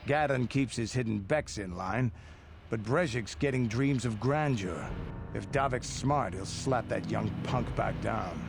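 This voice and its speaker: A man playing a gruff, contemptuous mercenary tough guy in a video game, dismissive and hard. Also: medium pitch, a little slow, music in the background. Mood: scornful.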